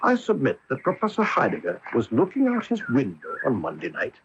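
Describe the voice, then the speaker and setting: An actor playing a keen, self-assured English gentleman detective in a radio mystery, eager and precise as he explains. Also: medium pitch, huge pitch swings, a little fast. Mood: confident, explaining. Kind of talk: 1980s radio mystery acting. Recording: noisy, dull AM radio broadcast tape.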